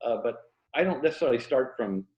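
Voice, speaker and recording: An American man talks casually as an interview guest, telling stories in a reflective, self-deprecating way. His voice sits at an average pitch. The room is clearly echoey and the sound is a little dull and lo-fi. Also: animated, quick pace, a little mellow. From Florida, USA. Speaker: interview guest, fiction writer and former journalist. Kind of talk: casual interview conversation about writing.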